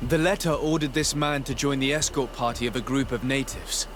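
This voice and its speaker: An actor playing a composed young noble diplomat in a video game, formal, articulate and courteous. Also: male voice, medium pitch, steady tone, brisk, a bit bright, a little hiss or hum, close to an everyday voice. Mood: serious, considering.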